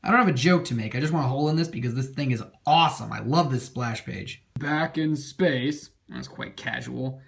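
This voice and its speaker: A man's voice: medium pitch, normal speed, vocal fry, hardly any pauses, fairly bright tone. A man hosting a scripted comedic review, narrating in a sarcastic, mildly profane way. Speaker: comic book reviewer and host.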